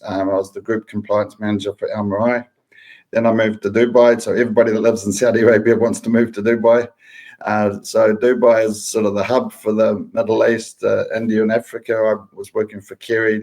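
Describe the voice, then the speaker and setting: Flat-voiced New Zealand man, low pitch. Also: poor audio. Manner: matter-of-fact, lists things steadily. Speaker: guest, food safety professional. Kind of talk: casual interview.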